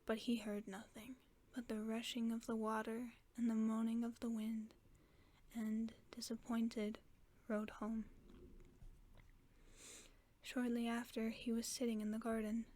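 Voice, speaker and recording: Soft whispering woman reading a bedtime tale. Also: fairly high pitch, a little hiss or hum. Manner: slow, hushed, even whisper. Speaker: narrator of a sleep-aid story.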